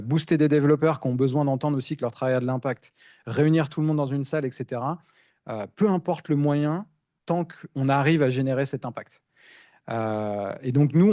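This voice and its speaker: A man's voice: mid-range voice, warm tone, dry recording, studio quality. A man from giving an informal, explanatory tech conference talk, often repeating words as he searches for phrasing. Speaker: principal engineer speaking on a panel.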